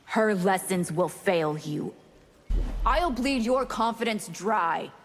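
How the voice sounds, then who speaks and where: A cruel, haughty vampire woman played by an actress from a video game. She taunts slowly and imperiously, her voice trailing long reverb with music underneath. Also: medium pitch, natural voice. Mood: cruel, taunting. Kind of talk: video game character dialogue.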